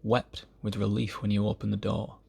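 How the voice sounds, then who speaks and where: A man recites poetry solemnly in a low voice full of heavy creak. His phrasing is measured and deliberate, and the tone is husky, as an amateur reader would give it. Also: monotone, warm tone.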